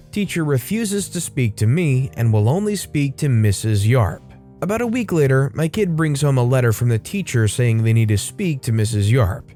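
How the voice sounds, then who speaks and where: Expressive man narrating stories over guitar. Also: mid-range voice. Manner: expressive, lively storytelling. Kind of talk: scripted storytelling narration. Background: faint guitar music.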